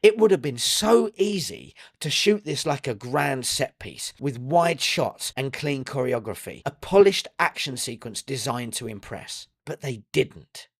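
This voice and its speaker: Male essay narrator, mid pitch, emphatic scripted storytelling, tight dry booth sound. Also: sing-song, unhurried, vocal fry, hardly any pauses, no echo. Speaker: video essay narrator and reviewer. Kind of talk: scripted dramatic commentary.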